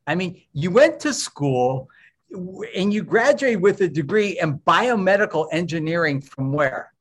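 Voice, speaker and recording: A man's voice: high-pitched, lively intonation, a little slow. A man hosting an interview, talking enthusiastically with fillers and restarts. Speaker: interview host. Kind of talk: warm interview chat.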